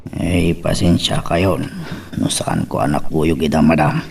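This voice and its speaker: A man's voice: a bass voice, unhurried, gravelly, a little mellow, husky, distant mic, heavily acted. A man acting a deferential, apologetic household servant in an Ilocano audio drama.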